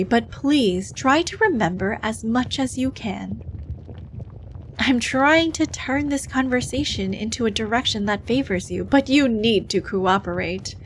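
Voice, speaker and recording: A woman playing a brisk, bureaucratic female demon interviewing souls in hell, officious and dryly condescending, in a scripted ASMR roleplay. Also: on the high side, lively intonation, slight background noise, poor audio. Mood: probing, unimpressed.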